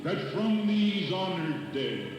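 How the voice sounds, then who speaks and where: An actor playing a solemn statesman giving a stately, measured, declamatory dedication oration. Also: sits high, lively intonation, lo-fi sound. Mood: earnest, resolute. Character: Abraham Lincoln, solemn statesman delivering a battlefield cemetery dedication. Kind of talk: solemn dramatized public oration. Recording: echoing hall, distant, music behind. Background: music.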